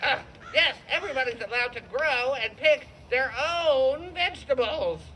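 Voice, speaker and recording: A moody girl skunk, acted by a woman in a puppet show for kids. The voice is high and drawn out, with very expressive swings, over faint noise. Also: moderate pace, low-quality recording. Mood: suspicious, indignant. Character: moody female skunk, glum and easily exasperated neighbor. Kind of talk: children's puppet show storytelling.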